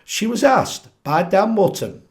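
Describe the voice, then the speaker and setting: A man hosting his own political commentary channel, croaky from a chest infection, talking animatedly and putting questions straight to his viewers. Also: high voice, a little slow. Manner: animated, asks viewers directly, frequent restarts. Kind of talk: opinionated solo commentary to camera.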